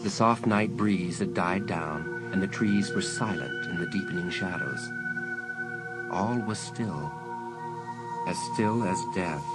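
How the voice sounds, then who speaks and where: A man narrating a suspense audiobook in a measured, dramatic storytelling manner. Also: deep voice, loud music behind the voice.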